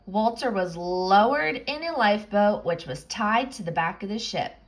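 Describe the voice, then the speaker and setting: A woman reading a children's picture book aloud, with expressive, suspenseful storytelling. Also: mid-range voice, normal speed. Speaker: storybook reader.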